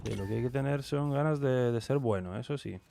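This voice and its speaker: A man's voice: mid-range voice, a little fast, vocal fry, warm tone, a little hiss or hum. A Colombian man streaming a tank game live, chatting with his viewers and muttering game noises between remarks. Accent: Colombian Spanish. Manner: mutters game noises and chats with viewers, mixing English and Spanish. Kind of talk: live gameplay commentary.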